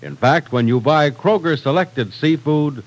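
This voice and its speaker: A man's voice: fairly high pitch, unhurried, rough recording. A man with an American accent announcing in polished, salesmanlike mid-century radio style.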